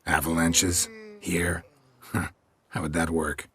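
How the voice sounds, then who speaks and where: Very husky low male voice, gruff monster hunter, deadpan, sardonic, laconic, video game. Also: slow, heavily acted. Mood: dry, unimpressed. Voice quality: husky, raspy. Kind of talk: video game character acting.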